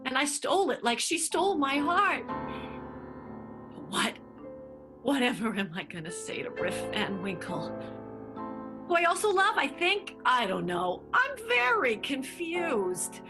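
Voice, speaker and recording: A woman's voice: sits high, sing-song, unhurried, over music, exaggerated voice. A woman voicing a confused, lovestruck stage manager in an improvised radio play, dithering as she talks.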